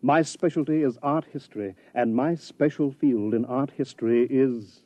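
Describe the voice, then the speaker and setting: An actor in a 1950s radio drama playing a pedantic, effusive scholar from the far future, formal and grandiose in speech. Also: male voice, mid-range voice, dark tone, no room sound, close to an everyday voice. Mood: pedantic, explanatory. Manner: formal, grandiose, halting over unfamiliar words. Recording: dull, dry vintage radio recording.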